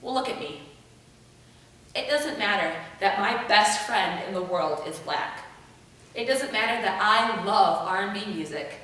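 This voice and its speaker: Young woman for a rehearsed monologue: bright, forceful voice, dramatic emphasis, heavily reverberant room with faint rumble. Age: young adult. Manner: emphatic, theatrical delivery with deliberate pauses and repeated phrases. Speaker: monologue performer. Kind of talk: rehearsed spoken-word monologue. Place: room without an audience. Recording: echoey room, distant mic, rumbling noise.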